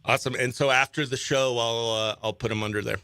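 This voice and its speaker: A man's voice: medium pitch, fairly even intonation, fast talker, bright, forceful tone, smooth, dry recording. A man hosting a radio morning show, chatting casually and upbeat with informal slang as he runs a contest. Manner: informal slangy, exclaims dude and oh heck. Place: small radio studio, close dry mic.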